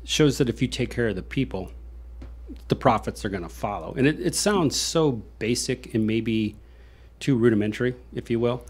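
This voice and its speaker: A man with an American accent hosting a conversational interview, speaking earnestly in a rambling way with repeated phrases. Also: mid-range voice. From Iowa, United States. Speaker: show host. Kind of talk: conversational interview with personal reflection.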